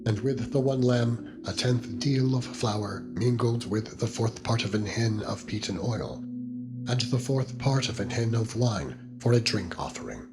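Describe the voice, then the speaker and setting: A man narrating the Bible as a solemn, measured, formal scripted reading. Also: fairly low pitch, animated. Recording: loud music with ringing singing-bowl tones. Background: music and singing bowl tones.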